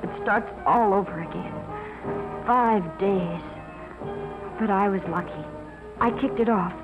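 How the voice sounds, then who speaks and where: A woman's voice: fairly high pitch, close to an everyday voice. Tinny 1950s film sound with music underneath carries a remorseful American teenage girl played by an actress. She confesses her past as an addict with earnest, dramatic feeling, in the acting style of an old educational film. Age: young adult.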